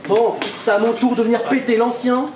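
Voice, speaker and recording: A man's voice: very high-pitched, little pitch movement, brisk. A man battle rapping a cappella, mocking his opponent with taunting punchlines. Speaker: battle rapper. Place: event hall with a crowd. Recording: echoey hall with crowd noise.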